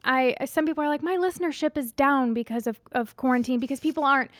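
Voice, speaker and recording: Chatty higher-voiced woman podcast host. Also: quick pace, dry recording. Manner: chatty, upbeat, self-joking. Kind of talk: casual chat.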